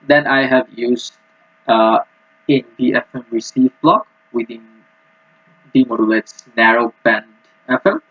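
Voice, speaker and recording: A man giving a technical walkthrough as a student presenter, speaking in a halting, hesitant way. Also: medium pitch, slightly rough. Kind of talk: technical screen-recording walkthrough. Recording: muffled, roomy lo-fi microphone.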